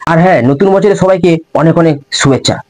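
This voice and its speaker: Prank-call host: young man, very quick delivery, informal and crude. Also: fairly high pitch, darkish tone. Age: young adult. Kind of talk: casual chat to viewers and prank calls.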